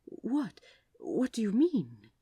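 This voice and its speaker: A woman's voice: on the high side, huge pitch swings, takes it slowly, mellow, husky, dry recording, voice pushed up, exaggerated voice. A woman voicing a prim, polite, eager male office worker with a formal, arch and playfully theatrical manner. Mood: alarmed.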